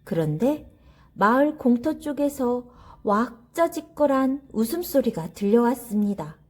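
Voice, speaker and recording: A woman's voice: on the high side, darkish tone. A woman narrating a children's story expressively, in a careful, teacherly way.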